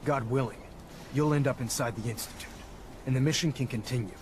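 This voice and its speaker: A man playing a stern, zealous military leader in a video game, speaking in a formal, authoritative, deliberate way. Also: mid-range voice, lightly acted. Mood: solemn, commanding. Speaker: military commander briefing a soldier. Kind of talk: video game cutscene acting. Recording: noisy game audio with engine ambience.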